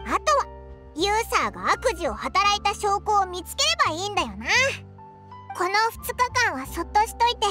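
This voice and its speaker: A small floating fairy sidekick for a Japanese video game. The voice is extremely high, swinging and expressive, with a cheeky boyish way of talking and clear music behind it. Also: female voice, normal speed. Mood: eager, confiding. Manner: brash, rough boyish speech, expressive.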